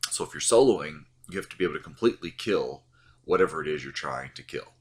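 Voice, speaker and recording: A man with an extremely low voice talks through a game guide in a relaxed voice-over. He sounds stuffy, still getting over the flu, and he rambles with plenty of fillers. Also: fast talker. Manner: loose, rambling, many uh fillers. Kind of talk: casual explanatory voice-over.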